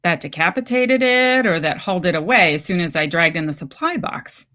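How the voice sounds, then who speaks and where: A woman's voice: lowish voice, animated, a little fast, pushed and bright. Woman reading as an anxious, defensive mother. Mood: guarded. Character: anxious, worn-down, defensive mother isolated at home.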